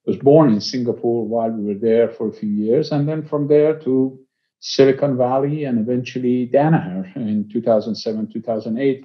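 Halting Iranian-accented man in his sixties. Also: medium pitch. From Iran. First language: Persian. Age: 60s. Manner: halting, pausing often mid-phrase. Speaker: interview guest, company executive. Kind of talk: conversational life-story interview. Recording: slightly dull, lo-fi audio.

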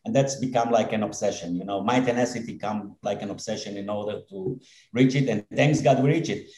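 A man in his fifties with an Israeli accent, a dentist and company head speaking as a podcast guest in an earnest, halting way with many fillers. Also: on the low side, a little mellow, reverberant. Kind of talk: podcast interview.

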